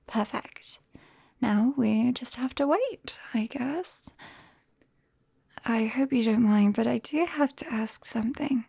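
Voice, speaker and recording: A British woman playing a gentle, shy, caring forest witch in a soft, breathy ASMR whisper, close to a binaural microphone. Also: fairly high pitch, dry recording, heavily acted. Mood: gentle, curious. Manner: slow, gentle, hesitant. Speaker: ASMR roleplay performer.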